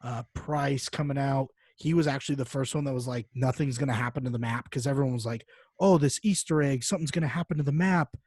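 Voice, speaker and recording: A man chats as a podcast co-host in a medium-pitched voice. His delivery is informal and jokey, loose with swearing. The sound is dry, with very little room in it. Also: fast, darkish tone, breathy edge, no echo. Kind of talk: casual podcast chat.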